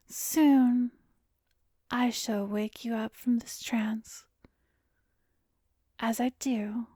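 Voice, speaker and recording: A woman acts out a cruel, seductive vampiress in a hypnotic ASMR roleplay. Her voice is fairly high and very dry, close to the ear, often sinking into a breathy whisper. She speaks slowly, teasing and commanding as she savors her power. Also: darkish tone, dry recording. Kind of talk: ASMR roleplay audio drama.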